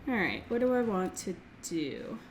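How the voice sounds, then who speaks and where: A young woman hosting a game let's play, slightly hoarse as she recovers from a throat infection, chatting casually and reading game text aloud. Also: medium pitch, very animated, slight background noise. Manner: reads game text aloud quickly, filler-heavy. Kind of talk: casual gameplay commentary.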